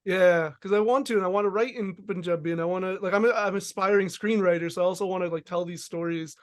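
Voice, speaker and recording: High-voiced man hosting a podcast. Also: fast. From United States. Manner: thoughtful, many fillers and hedges. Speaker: podcast host. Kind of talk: casual interview chat.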